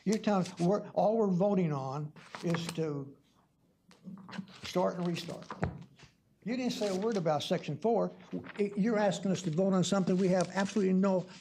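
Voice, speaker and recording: A man with a stutter questioning bluntly and argumentatively as a council member at a public meeting, repeating himself haltingly. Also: sits high, dark tone. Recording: noisy public meeting room recording.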